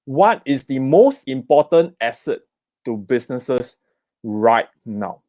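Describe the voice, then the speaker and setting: A man's voice: medium pitch, very animated, takes it slowly, mellow, dry recording, studio quality. A middle-aged man with a Singaporean accent, giving an investment talk at an online summit. He keeps asking "okay?" and "right?" and urges viewers to type in the comments. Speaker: investment speaker. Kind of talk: live online seminar talk.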